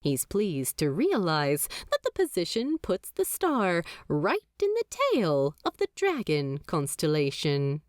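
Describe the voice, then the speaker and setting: A woman narrating a fanfiction audiobook in a measured, expressive storytelling manner. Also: fairly low pitch, dry recording, clean studio sound.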